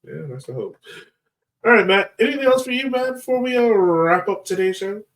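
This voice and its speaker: A man's voice: sits high, animated, brisk, fairly bright tone. A man hosting a casual sports podcast, talking in a chatty, informal way.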